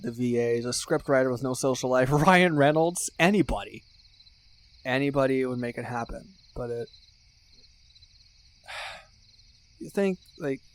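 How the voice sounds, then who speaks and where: Casting: male actor for excitable male best friend and sentimental superfan, mid-pitched, dramatic, gushing, chatty one-sided audio roleplay. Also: natural voice. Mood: earnest, hopeful. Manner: effusive, dramatic, gushing with mock-serious exaggeration. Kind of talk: ASMR roleplay acting, casual one-sided chat.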